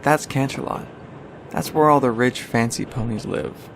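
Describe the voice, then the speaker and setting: A man acts out a young stallion looking after his little sister in an audio drama. He is mid-pitched, protective and reassuring, speaking gently over faint vehicle ambience. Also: normal speed, noisy background, natural voice. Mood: encouraging. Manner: soft, encouraging, caring. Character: young male pony, gentle, protective, reassuring big brother.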